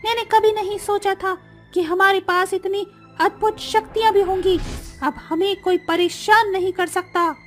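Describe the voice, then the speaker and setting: Woman voicing a bubbly young sister with magic powers, high and excited, cartoon story. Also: moderate pace, soft background music. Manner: excited, bold, cheerful.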